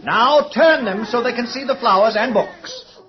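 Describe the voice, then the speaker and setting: The recording is muffled like old radio. a male institute director with a notably high voice, didactic and smug, who gives orders precisely in a radio-play manner. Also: animated, unhurried. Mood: commanding. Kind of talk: mid-century radio drama acting.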